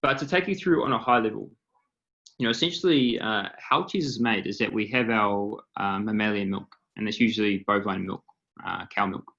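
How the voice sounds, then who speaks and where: Kiwi-accented founder, explanatory panel talk, slightly dull call audio. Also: male voice, lowish voice, normal speed, gravelly, a bit bright. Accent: New Zealand. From New Zealand. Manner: explanatory, lots of filler ums and uhs. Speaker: company co-founder and CEO, panelist. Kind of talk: presentation on a video call panel. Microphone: computer mic on a video call.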